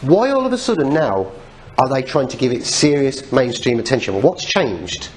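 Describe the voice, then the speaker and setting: A man's voice: mid-range voice, big pitch swings, moderate pace, a little mellow. A man giving an informal public lecture, speaking in an opinionated way with rhetorical questions. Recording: noticeable background noise, live talk recording.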